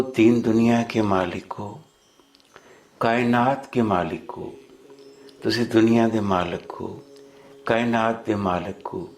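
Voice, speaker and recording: A man with a Punjabi accent leading a guided meditation of prayerful affirmations, deliberately repeating phrases for listeners to follow. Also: lowish voice. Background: background music.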